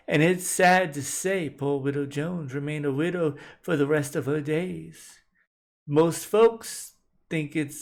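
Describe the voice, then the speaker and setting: A man with a creaky, fairly high voice tells a folk tale. His delivery is folksy and colloquial, the manner of a storytelling host. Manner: folksy, colloquial Southern-style phrasing. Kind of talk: animated folk-tale narration.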